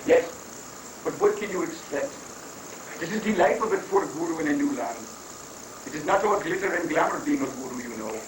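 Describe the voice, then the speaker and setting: Guy acting as a high voiced foreign guru, cheery n wordy, mock holy, comic play reading, echoey noisy old tape. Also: expressive, a little fast, pauses often, natural voice. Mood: mock-pious. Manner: rambling, wordy, mock-pious with foreign phrasing. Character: flamboyant wandering foreign guru, rock-obsessed, evasive and cheerful. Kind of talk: comic dramatic stage reading. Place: echoey room, mic at a distance. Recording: noisy 1980s live recording, echoey, distant mic.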